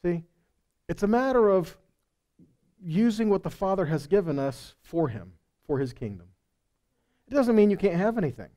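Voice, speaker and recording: Mellow middle-aged man preaching conversationally. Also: high voice, very animated, moderate pace, pauses often. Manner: conversational teaching with rhetorical questions and tag words like okay and right. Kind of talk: sermon with scripture reading and commentary. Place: indoor stage of a meeting room. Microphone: headset.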